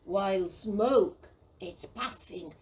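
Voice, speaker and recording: A woman's voice: medium pitch, slow, a little mellow, close to an everyday voice. A woman playing a distrustful, melodramatic villager in an audio play. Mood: dramatic alarm. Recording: far from the mic.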